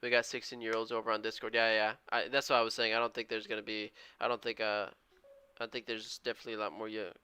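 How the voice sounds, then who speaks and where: A young man in his twenties hosts a live trading stream, with a bright, forceful tone and a crisp, smooth voice. He is upbeat and friendly, drops casual slang, and keeps thanking viewers. The sound is dry and close, with no room around it. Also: lowish voice, level tone, quick pace, no echo. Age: 20s. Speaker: live-stream day trader.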